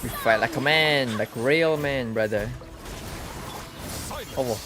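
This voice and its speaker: A man's voice: mid-range voice, slow pace. A Malaysian-accented man, a native Malay speaker, giving casual, slangy, reactive live commentary as a game streamer. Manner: slangy, reactive, mixes two languages. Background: game music.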